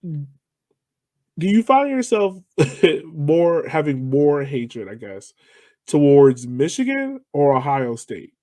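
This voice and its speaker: An American man hosts a podcast interview in a relaxed, conversational register. His pitch moves around in a very lively way, and the sound is close and dry. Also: takes it slowly, no room sound. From Virginia, United States.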